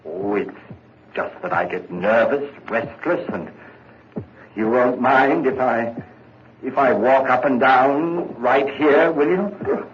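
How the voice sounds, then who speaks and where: A man's voice: mid-range voice, takes it slowly, close to an everyday voice. Guy doing a jumpy murderer who stammers, 1940s radio play, muffled with hiss. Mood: panicked. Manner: jittery, stammering, rising to panic. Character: nervous guilt-ridden young male murderer. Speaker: radio drama lead. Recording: dull old radio recording with hiss.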